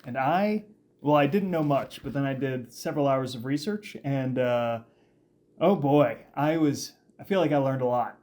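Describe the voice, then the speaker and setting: A man co-hosting a casual podcast, talking excitedly and rambling with frequent restarts and fillers. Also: mid-range voice, moderate pace. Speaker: podcast co-host. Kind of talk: casual chat.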